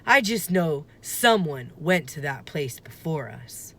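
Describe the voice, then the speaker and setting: A woman's voice: sits low, very animated, slow, heavily acted. A woman voicing an earnest, cautious young boy in a children's storybook, with a questioning, wondering manner. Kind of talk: children's storybook read-aloud.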